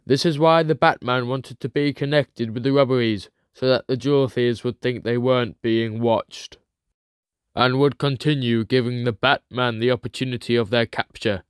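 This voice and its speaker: A man's voice: medium pitch, steady tone, normal speed, dry recording, clean studio sound. A man reading scripted, dramatic comic book narration as the storyteller. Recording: music clearly behind the voice.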